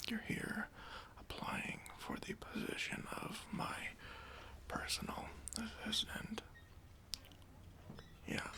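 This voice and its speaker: A man playing a self-important, demanding boss at a job interview in a whispered ASMR roleplay, talking in a condescending, halting way. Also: sits high, vocal fry, sharp tone, full character voice. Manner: pompous, condescending, halting with drawn-out pauses.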